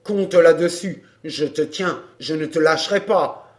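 A gruff fairground man from a storybook, acted out by a woman reader. The voice sits low, with a blustering, colloquial edge. He sounds resentful and uneasy, a jilted man full of threats. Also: measured pace. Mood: angry, threatening. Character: gruff, angry jilted fairground man, anxious yet vengeful.

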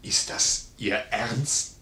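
An actor playing a gruff but good-hearted sergeant with blunt, folksy soldierly talk in a 1950s German radio play. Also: male voice, extremely slow, pushed and bright, full character voice. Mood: overjoyed. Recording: roomy 1950s radio studio recording.

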